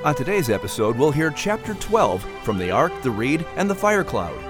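A man's voice: a little high, big pitch swings, moderate pace, over music. A man narrating and announcing a children's story show in a scripted storyteller style.

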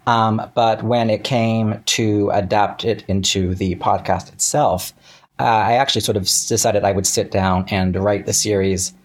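An American man talking casually as an interview guest, in a halting, self-correcting way. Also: on the low side, fairly even intonation. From Central Florida, United States.

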